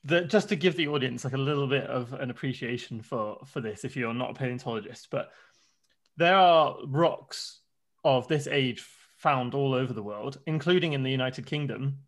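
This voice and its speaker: A podcast host chatting casually, quick to laugh and stopping to explain things for listeners. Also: mid-range voice. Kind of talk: casual chat.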